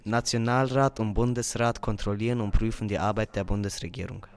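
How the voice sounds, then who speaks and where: A man's voice: fairly low pitch, steady tone, a little fast. A teenage boy reading facts from a script for a school podcast. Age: teens. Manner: reads script lines. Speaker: student podcast speaker.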